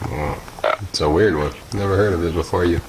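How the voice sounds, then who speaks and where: A man's voice: deep voice, a little fast, creaky. A man in his thirties doing a casual interview in a laid-back way, reacting with short remarks. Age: 30s. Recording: noisy radio-like call audio, clicks.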